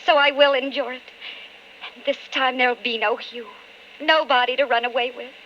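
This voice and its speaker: An actress playing an anguished, calculating wife of an alcoholic in an old-time radio drama, with intense, melodramatic delivery. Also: female voice, sits high, fairly even intonation, normal speed, sharp tone, lo-fi sound, a little hiss or hum. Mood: anguished, resolved.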